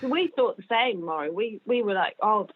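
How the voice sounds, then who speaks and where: A woman's voice: mid-range voice, lively intonation, moderate pace, slightly rough. Giggly woman on a phone line. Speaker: physical comedy performer. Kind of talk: casual interview chat.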